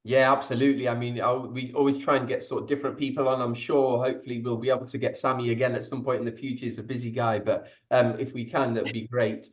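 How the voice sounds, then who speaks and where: A man's voice: mid-range voice, flat delivery, fast, few pauses, reverberant, highs cut off. Polite man hosting over a video call. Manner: polite, asks guests questions. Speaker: host of an online cook-along. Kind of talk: casual video-call chat.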